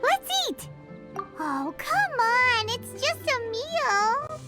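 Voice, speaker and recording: A woman voicing a tiny, chirpy and pushy childlike companion in a video game, squeaky and eager. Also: very animated, extremely slow, music in the background, full character voice. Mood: eager coaxing.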